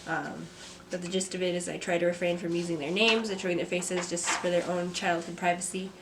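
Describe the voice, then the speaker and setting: A young woman, a mother and artist, talking to camera from a script in a soft, reflective vlog; she sounds stuffy and congested. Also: fairly low pitch, fairly even intonation, brisk, noisy background. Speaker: vlogger, artist. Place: home. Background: kids playing.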